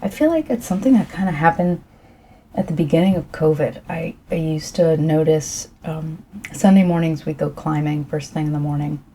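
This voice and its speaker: A middle-aged woman speaking softly during an ASMR tea tasting, her voice croaky, clearing her throat often, rambling gently with fillers. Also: deep voice, a little hiss or hum. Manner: rambling, soft and unhurried with fillers.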